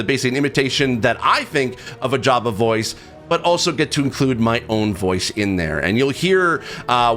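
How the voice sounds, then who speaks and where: A man's voice: mid-range voice, a bit bright. A man hosting his own video, explaining things in a personal narration. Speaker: host, video creator and voice actor. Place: office, own recording setup. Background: music.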